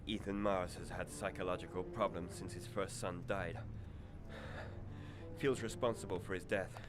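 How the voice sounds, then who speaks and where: Male actor as formal psychiatrist, lowish voice, varied intonation, clinical, pressured, video game drama, loud music. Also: steady tone, normal speed, natural voice. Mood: grave, reluctant.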